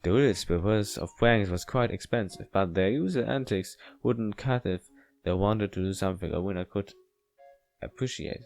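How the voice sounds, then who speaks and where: A man with a fairly low voice and a non-native English accent hosts a let's play. He reads the story out loud in a halting, stumbling way and drops into casual asides. Soft music plays behind him. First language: not English. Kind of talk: reading a visual novel aloud with casual asides.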